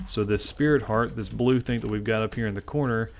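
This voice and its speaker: A man's voice: lowish voice, a little fast, slight background noise. A man in his twenties from Tennessee with a Southern accent, chatting casually and self-deprecatingly while commenting on his gameplay, often rambling between thoughts. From Memphis, Tennessee. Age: 20s. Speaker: gaming channel host.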